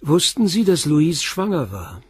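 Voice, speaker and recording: An actor playing a calm middle-aged police inspector in a radio drama, asking short, persistent questions. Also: male voice, fairly high pitch, unhurried, slightly rough, dry recording, clean studio sound, heavily acted. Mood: probing.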